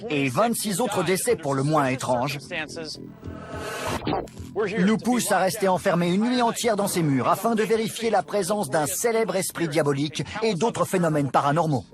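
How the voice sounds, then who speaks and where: A man's voice: sits high, lively intonation, moderate pace, over music. A man voicing an intense paranormal documentary host, narrating and questioning in a dramatic, inquisitive way. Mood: dramatic, intrigued. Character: intense male ghost hunter hosting a documentary. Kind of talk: dubbed documentary narration and interviewing.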